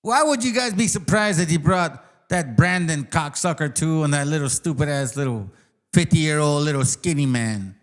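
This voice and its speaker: A man on a foul-mouthed tirade, higher-pitched than most men. His pitch leaps around wildly as he repeats insults and jabs. His delivery swings from shouted outbursts to low mutters. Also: animated, normal speed. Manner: aggressive, profane, repetitive, swings loud and soft. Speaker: ranting commentator. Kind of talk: profane rant.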